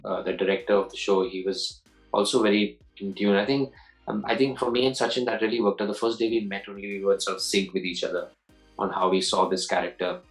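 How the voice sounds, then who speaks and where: A man's voice: fairly low pitch, level tone, fast talker, faint music behind, rough recording. A man in his forties, an actor answering interview questions in a loose, rambling way, often restarting and repeating words, with easy laughs. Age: 40s.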